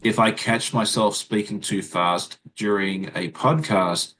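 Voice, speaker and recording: An Australian man, a guest, talks quickly in a casual livestream chat with lots of fillers and restarts. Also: fairly low pitch, fairly even intonation. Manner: fast-talking, chatty, many fillers and restarts. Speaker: guest content creator.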